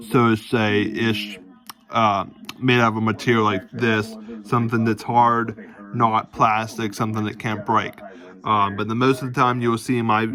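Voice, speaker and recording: A man talking casually to camera in a halting, rambling way, often restating himself as he explains things. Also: fairly low pitch, a bit bright. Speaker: self-described police department chief recording a vlog. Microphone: phone.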